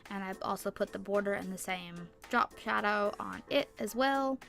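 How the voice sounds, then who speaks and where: A young woman with a stuffy nose and sore throat, rambling chattily through a casual tutorial voice-over on a boom-arm mic. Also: moderate pace, gravelly, fairly bright tone, soft background music. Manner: rambling, chatty, lots of fillers. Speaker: YouTuber. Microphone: microphone on a boom arm.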